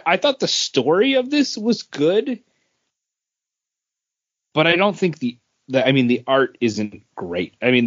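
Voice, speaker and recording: Slow-paced man chatting on a podcast. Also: medium pitch, no room sound, highs cut off. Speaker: podcast co-host reviewing comics. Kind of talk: casual chat.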